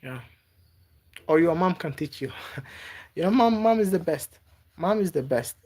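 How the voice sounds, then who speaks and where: A man from Africa, not a native English speaker, chatting live with viewers and giving gentle advice, halting and repeating words. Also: a little high, animated. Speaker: livestreamer. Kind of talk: casual live-stream chat with viewers.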